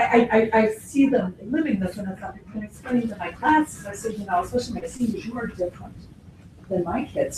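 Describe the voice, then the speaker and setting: A woman in her forties with a raspy voice, an audience member and teacher speaking in an earnest, worried way with some stammering. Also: mid-range voice. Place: hotel conference hall. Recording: echoey hall from far off, background noise.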